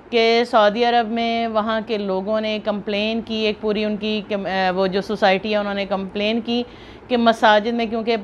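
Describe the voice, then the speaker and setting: A woman with a Pakistani accent speaks as a video host at a medium pitch. She gives earnest, emphatic opinion commentary, often rewording herself mid-sentence. The recording is dull and lo-fi, with noticeable noise behind her. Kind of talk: unscripted opinion commentary to viewers. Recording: muffled lo-fi, clear background noise.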